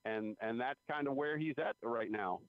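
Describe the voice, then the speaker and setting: A man's voice: medium pitch, moderate pace, no echo. A man giving conversational sports analysis as a guest reporter, repeating phrases for emphasis, over a phone line. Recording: phone-like radio call-in line.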